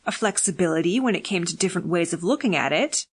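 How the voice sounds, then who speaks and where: A woman narrating a fiction audiobook in a measured, deliberate, scripted reading. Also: fairly low pitch, animated, slightly rough.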